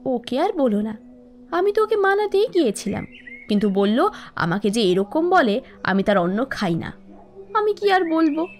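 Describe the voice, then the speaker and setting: A woman playing a spiteful, scheming young village wife in a cartoon, shrill and dramatic as she nags and complains. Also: sing-song, moderate pace, pauses often. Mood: aggrieved, manipulative. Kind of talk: animated cartoon moral-story acting.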